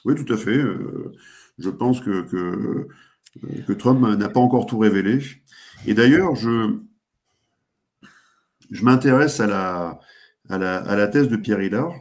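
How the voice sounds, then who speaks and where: Hesitant low-voiced French-speaking commentator man. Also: slow pace, pauses often, darkish tone. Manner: hesitant, many fillers and restarts. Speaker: guest commentator. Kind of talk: geopolitical analysis in a talk show.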